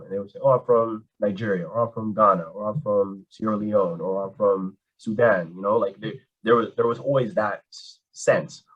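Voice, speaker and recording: A man telling his story as an interview guest, casual and animated, full of slang. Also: lowish voice, breathy edge, muffled recording. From Canada. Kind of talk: candid conversation.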